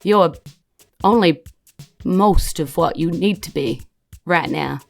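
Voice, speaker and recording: Old female wanderer acted by a woman game master, puzzled and self-effacing, slow halting delivery with creak. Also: fairly low pitch, soft background music. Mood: cryptic, deliberate. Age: older. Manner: halting, searching for words.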